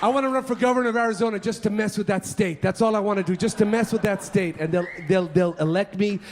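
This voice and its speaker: Man, stand-up comic, high voice, shouting punchlines, club crowd roaring behind. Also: quick pace, faint music behind. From Colombia. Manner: loud, animated, switching into Spanish. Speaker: stand-up comedian. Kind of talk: stand-up comedy set. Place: comedy club stage. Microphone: handheld stage mic. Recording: live club with loud crowd. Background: audience laughter.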